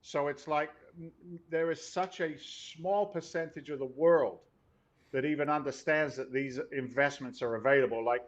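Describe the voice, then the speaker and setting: A man co-hosting a casual investing talk show, loud and blunt, swearing casually and punching his points with emphasis. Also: on the high side, fairly even intonation, moderate pace, dull audio. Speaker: podcast co-host.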